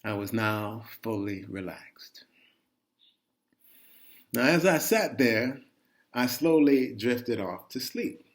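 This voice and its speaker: Man telling a folksy, animated personal story. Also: mid-range voice, takes it slowly, pauses often. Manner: animated, folksy, with asides to the listener. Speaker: reader of a personal chronicle. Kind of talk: conversational first-person storytelling.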